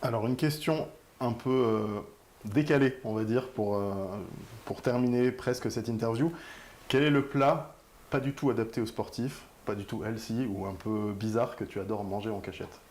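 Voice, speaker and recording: A man's voice: mid-range voice, fairly even intonation, normal speed, a little mellow. A man presenting an interview for a sports club web channel, speaking as the host.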